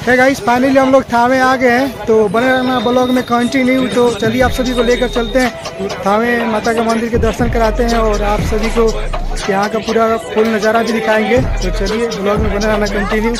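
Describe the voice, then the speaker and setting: A man's voice: extremely high pitch, level tone, normal speed. A man from Bihar narrating his casual vlog outdoors at a busy fair, repeating himself and calling viewers "guys". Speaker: vlogger. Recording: music and crowd behind. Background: loud music and crowd.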